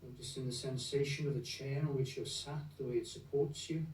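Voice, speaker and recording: A man leading a guided meditation in a gentle, unhurried, soothing manner, leaving long pauses between phrases. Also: medium pitch, fairly even intonation, a little hiss or hum. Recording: roomy echo, distant from the mic.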